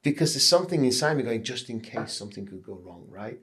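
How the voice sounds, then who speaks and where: A man's voice: medium pitch, sing-song, fast talker, a bit bright. A man in his late fifties hosting a conversational interview, probing with short follow-up questions and personal asides. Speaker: podcast host, interviewer.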